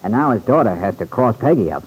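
Low, husky homecoming son. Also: male voice, big pitch swings, fast, a little mellow, full character voice, poor audio. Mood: indignant. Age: young adult. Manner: earnest, colloquial, reflective. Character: earnest, loyal young man home from war. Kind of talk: old-time radio serial acting.

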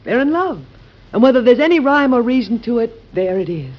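A woman's voice: on the high side, big pitch swings, a little fast. An actress playing a devoted middle-aged wife and mother, sincere and reasoning, in an old-time radio drama. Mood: gently persuasive. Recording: muffled old radio recording.

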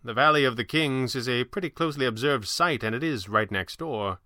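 Pulp audiobook hero, read by a male narrator: gentleman in a mask, bright, assured, wry. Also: mid-range voice, fairly quick, dry recording, studio quality, close to an everyday voice. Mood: earnest. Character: confident masked gentleman hero, wry, earnest and reassuring.

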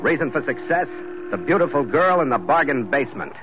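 A man's voice: fairly high pitch, big pitch swings, brisk. An actor playing a confident ship's captain who narrates his seafaring adventures in 1940s radio style. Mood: brisk, official. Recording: dull old radio recording, loud music behind.

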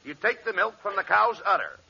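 A man's voice: high voice, big pitch swings, fairly quick, creaky, bright, forceful tone. A man playing the straight man in a 1940s radio comedy routine, exasperated, correcting and pleading. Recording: muffled hissy 1940s radio broadcast.

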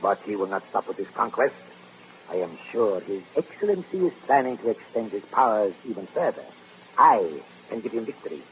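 Sinister scheming doctor, muffled old radio. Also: male voice, on the low side, very animated, a little fast. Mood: boastful, persuasive. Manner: formal, persuasive, insinuating. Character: sinister scheming male doctor, adventurer and former spy. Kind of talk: 1930s radio drama acting. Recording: muffled, noisy vintage radio recording.

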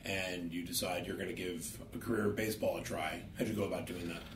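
A man from New York asks brisk, leading questions in a fairly low voice. He talks fast and sounds set back from the mic. A roomy echo and faint room noise sit around him. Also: flat delivery. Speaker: interviewer. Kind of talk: interview questions. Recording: distant mic in an echoey room.

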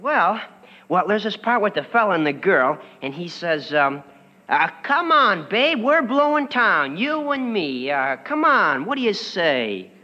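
A performer playing an excitable, awkward, stagestruck adolescent son, gawky and hammy, in 1940s radio comedy style. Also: mid-range voice, a little slow. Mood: awestruck, bashful. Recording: muffled, noisy old radio recording.

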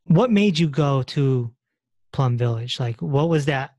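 A woman hosting a podcast, interviewing a guest in a relaxed, affirming way and sharing her own stories. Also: a bass voice, a little slow, no echo. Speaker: podcast host. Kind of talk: conversational interview.

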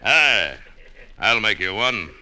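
An actor playing a boastful, menacing pirate captain in old-time radio drama, with gruff, domineering seaman's talk. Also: on the low side, very animated, slow pace, sharp tone, full character voice.

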